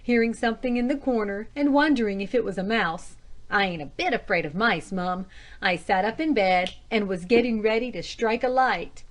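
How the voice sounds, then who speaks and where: A woman's voice: mid-range voice, moderate pace, close to an everyday voice. A woman voicing a frightened, indignant female cook in a storybook, explaining herself condescendingly to her mistress. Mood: shaken, insistent. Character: frightened, indignant female household cook, plain-spoken and deferential.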